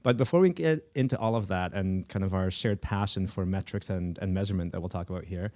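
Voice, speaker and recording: A man from Poland hosting an interview podcast, talking quickly with frequent restarts. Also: low-pitched, dark tone. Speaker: podcast host.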